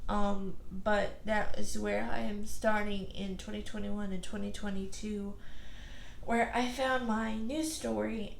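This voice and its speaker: A woman's voice: mid-range voice, slight background noise. A young woman who is losing her voice, chatting casually and rambling through her writing story with many fillers and restarts. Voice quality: gravelly. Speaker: author vlogger. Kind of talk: casual chat to camera. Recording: echoey room.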